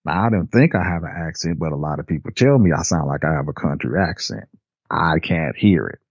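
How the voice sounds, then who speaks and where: An older man from Nebraska telling a personal story in a relaxed, rambling way with casual slang. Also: very deep voice, a little fast, slightly rough, no echo, lo-fi sound. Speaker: storyteller guest. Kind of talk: personal storytelling, recounting a memory.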